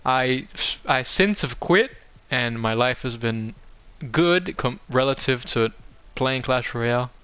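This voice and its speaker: A man's voice: mid-range voice, expressive, slow, hardly any pauses, a bit bright, smooth. A young man giving a casual, offhand game tour commentary, rambling along in a self-deprecating way. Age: young adult. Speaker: gaming video host. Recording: music behind the voice. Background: background music.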